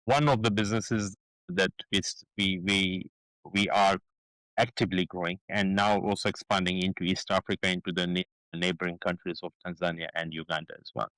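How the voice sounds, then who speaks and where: Middle-aged man from Kenya, low voice, halting guest, dry close sound. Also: level tone, fairly bright tone, dry recording, low-quality recording. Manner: hesitant, many fillers and restarts. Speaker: guest, business founder. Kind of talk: casual interview talk.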